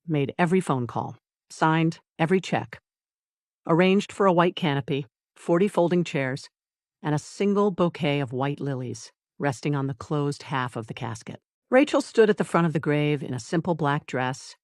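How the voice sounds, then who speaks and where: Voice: medium pitch, no room sound. Dramatic audiobook narrator, dry close sound.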